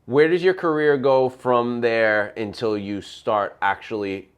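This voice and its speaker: A man with an ordinary mid-range voice leads the conversation as interviewer. He nudges the talk along with short questions and steady encouraging replies. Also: medium pitch, unhurried, clean tone. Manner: encouraging, frequent backchannels. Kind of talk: casual interview.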